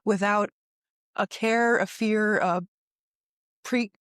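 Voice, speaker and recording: An interviewer asking questions in a halting way, searching for words and restarting often. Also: mid-range voice, fairly even intonation, fairly bright tone, clear, resonant tone, dry recording, clean studio sound. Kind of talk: casual interview conversation.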